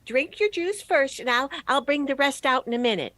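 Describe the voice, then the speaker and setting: Woman playing a chirpy, fussy landlady, high-ish voice. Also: animated, normal speed, fairly bright tone, no echo. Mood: fussy, hospitable. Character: fussy, chirpy, playful landlady serving breakfast. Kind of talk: 1940s radio comedy acting.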